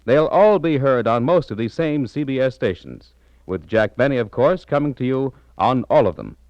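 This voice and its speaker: Animated American 1940s radio announcer man. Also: medium pitch, normal speed, dry recording, muffled recording. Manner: formal, emphatic.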